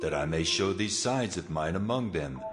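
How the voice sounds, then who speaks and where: A man's voice: sits low, animated, normal speed, over music. A man playing almighty God in dramatized scripture audio, grave and commanding with solemn authority.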